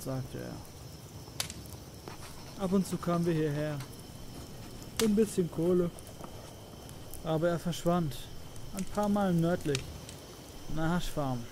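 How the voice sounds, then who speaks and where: A man playing a slangy, excitable young oddball drifter in a German game fan dub, blunt and dramatic. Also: a little high, unhurried, long pauses. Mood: frank storytelling. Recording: clear night insect noise. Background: crickets and night ambience.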